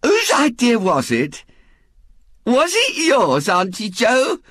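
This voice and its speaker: Storybook matron, voiced by a man: middle-aged, breathless, gushing, colloquial, pitched very high. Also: wildly swooping pitch, slow, a bit bright, no room sound, clean studio sound, full character voice. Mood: puzzled. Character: plump gushing middle-aged woman, easily thrilled society hostess.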